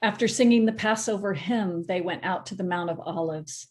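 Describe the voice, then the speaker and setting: A woman reading the narration of a gospel passage in a church passion play, measured and even. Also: on the low side. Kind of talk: scripture narration. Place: church sanctuary.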